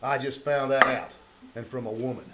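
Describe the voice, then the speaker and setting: A man's voice: mid-range voice, little pitch movement, moderate pace, very noisy, close to an everyday voice. A man playing a grumpy, rueful fellow in 1940s radio drama style. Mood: rueful, gruff. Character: Mr. Wimple, grumpy rueful man splitting kindling.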